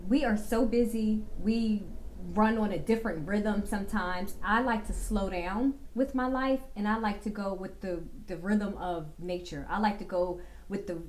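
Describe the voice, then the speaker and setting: Woman vlogger, bit raspy from a cold, slow and dreamy, lots of ums, middle pitch. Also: a little mellow, slight background noise, rough recording. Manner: slow, reflective, meandering with fillers. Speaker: vlogger giving life advice. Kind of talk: casual talking-to-camera advice.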